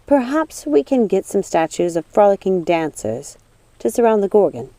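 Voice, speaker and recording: A woman voicing a gentle young witch who speaks politely and earnestly in a storybook reading. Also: medium pitch, big pitch swings, moderate pace, dry recording. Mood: reassuring.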